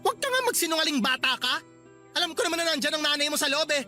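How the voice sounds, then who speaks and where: An actor playing a cruel, hot-tempered male landlord who scolds and shouts insults in a radio drama. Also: high voice, sing-song, very fast, heavily acted. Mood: angry, bullying. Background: music.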